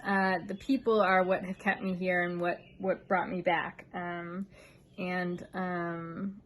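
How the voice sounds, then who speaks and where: An American woman in her thirties, talking casually to camera on an outdoor veranda, with many ums and an earnest, relaxed manner. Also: medium pitch, a little hiss or hum. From United States. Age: 30s. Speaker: vlogger.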